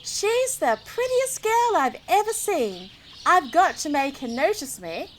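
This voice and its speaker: A woman's voice: very high-pitched, sing-song, measured pace, exaggerated voice. A woman voicing an easygoing, joking young cowboy in a children's storybook, playful and candid. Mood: smitten. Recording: outdoor background noise with birdsong.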